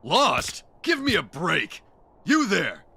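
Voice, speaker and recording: A man voicing a haughty, excitable follower of Hades in an anime fan dub, theatrical and indignant. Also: high-pitched, slow, vocal fry, husky, exaggerated voice.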